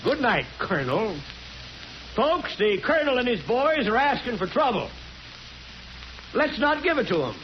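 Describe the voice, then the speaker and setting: A man playing a stubborn, folksy small-town mayor making a forceful speech in a 1940s radio drama, on a dull old recording. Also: very high-pitched, sing-song, normal speed, pauses often, a little hiss or hum. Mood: earnest, defiant. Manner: folksy oratory, colloquial and forceful.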